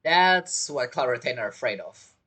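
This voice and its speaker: A woman's voice: extremely low pitch, expressive, normal speed, a bit bright, breathy edge. A woman streamer giving casual reaction commentary on a game, chatty, laughing often and speaking broken English.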